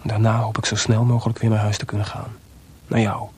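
An actor playing a proud, bitter, jealous composer husband in a radio play, grumbling and sardonic in an intimate way. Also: male voice, fairly low pitch, completely flat delivery, brisk, darkish tone, slightly rough. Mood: earnest, tender. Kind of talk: Dutch radio play acting.

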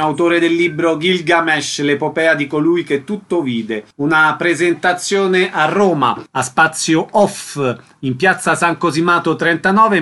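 A man's voice: a little high, sharp tone. A man hosting a radio programme, presenting details and putting concise questions to guests. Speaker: radio interviewer and host.

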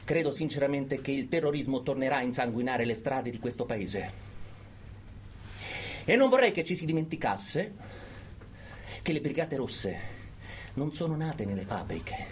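An actor playing a fanatical political orator in a theatrical radio monologue, emphatic and full of dramatic pauses. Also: male voice, medium pitch, lively intonation, a little hiss or hum, close to an everyday voice. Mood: grave, insistent. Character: fanatical male political orator urging brutal repression.

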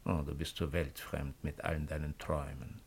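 An actor playing a shrewd, cynical imperial agent of ancient Rome in a 1950s German radio play, with a mocking, deliberate, rhetorical delivery. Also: male voice, rumbling low, level tone, breathy edge, exaggerated voice. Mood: knowing, warning.

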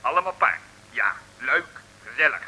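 An actor playing a forlornly cheerful Dutch chip-stall owner in an old comic radio serial, chattering and muttering ingratiating asides. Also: male voice, medium pitch, sing-song, moderate pace, pushed and bright, breathy edge, heavily acted, low-quality recording. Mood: forced cheer. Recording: old radio recording with faint noise.

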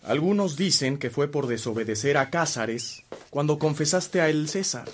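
An actor playing a priest's loyal, concerned brother in a radio drama, speaking earnestly. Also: male voice, on the high side, animated, normal speed, slight background noise, close to an everyday voice.

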